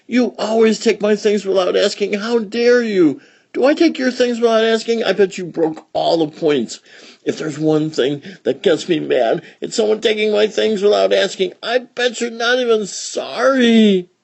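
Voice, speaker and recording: A man's voice: normal speed, gravelly, a little mellow. A man doing a furious little girl. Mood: outraged. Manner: shrill, indignant. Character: furious little girl scolding over crayons.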